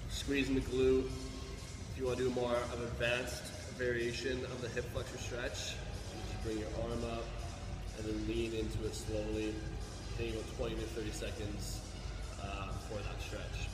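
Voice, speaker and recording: A man coaches through workout moves in a plain-spoken, instructional way. His voice sits at a medium pitch and moves little in melody. Loud music plays behind him throughout. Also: little pitch movement, moderate pace, poor audio. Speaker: fitness trainer, coach. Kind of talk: instructional exercise commentary.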